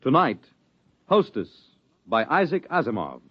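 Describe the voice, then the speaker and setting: A man announcing an old radio show with a lively, swooping delivery and grand drama. The broadcast sound is dull and muffled, with music playing clearly underneath. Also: mid-range voice, measured pace. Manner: sweeping, theatrical.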